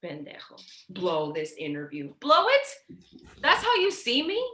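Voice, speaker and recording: A woman's voice: medium pitch, wildly swooping pitch, a little slow, sharp tone, exaggerated voice. A woman playing a stressed, defensive, foul-mouthed sister in a staged play reading, emotional and confrontational. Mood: indignant. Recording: echoey room.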